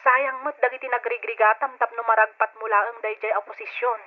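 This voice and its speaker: An actress playing a concerned, caring older sister in an old radio drama, speaking in a solicitous, emotional manner. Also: female voice, on the high side, steady tone, brisk, lightly acted. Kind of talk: old-time radio drama acting in Ilocano. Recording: thin phone-like radio drama sound.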